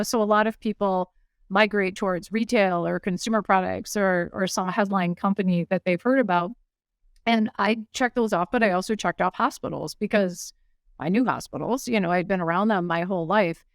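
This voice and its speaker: Smooth-voiced Midwestern American woman in her fifties. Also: medium pitch, no room sound, clean studio sound. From United States, small town in Illinois. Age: 50s. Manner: articulate, explanatory, peppered with "you know". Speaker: interview guest, healthcare executive. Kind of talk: conversational personal storytelling in an interview.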